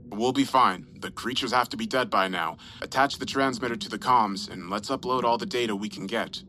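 A man voicing a steady, commanding expedition crew leader with terse delivery in a horror story. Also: on the low side, brisk. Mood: firm. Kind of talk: horror story character dialogue. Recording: clearly noisy background.

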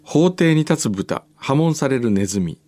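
A man's voice: medium pitch, lively intonation, moderate pace, warm tone. A man co-hosting a radio show, chatting casually and reading out listener mail.

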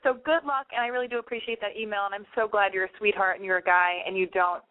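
Fast-talking chatty woman on a tinny line. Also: medium pitch, fairly even intonation. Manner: chatty, emphatic, big-sister advice. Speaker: advice show host. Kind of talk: casual advice call-in chat.

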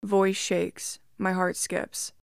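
A woman narrating a first-person creepy story in a calm, measured storytelling voice. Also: fairly low pitch, vocal fry, smooth, no room sound, clean studio sound.

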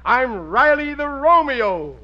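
An actor playing a bumbling, eager middle-aged working-class American husband in 1940s radio comedy, with a broad, hammy, folksy delivery. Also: male voice, very high-pitched, very animated, slow, highs cut off, full character voice. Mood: boastful, eager. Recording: thin, faintly noisy vintage radio recording.

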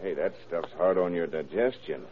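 An actor playing a shrewd, calm police detective in a 1930s radio crime drama, gruff, wry and commanding. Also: male voice, low-pitched, moderate pace, a crowd in the background, close to an everyday voice. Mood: wry, stern. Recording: muffled old radio broadcast recording.

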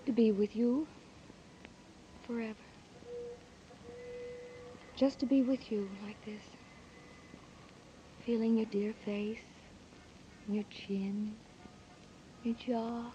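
A devoted, frightened young woman in a 1940s radio drama, her high voice breathy and intimate, pleading softly. The old broadcast is dull and lo-fi with a faint hiss beneath it. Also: steady tone, slow, long pauses. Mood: tender.

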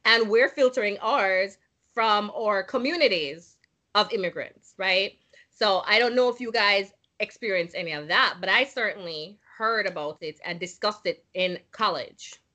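A woman's voice: medium pitch, unhurried. A woman from Jamaica, casually discussing immigrant life with friends and asking the group questions. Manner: animated, acts out quotes, asks questions.

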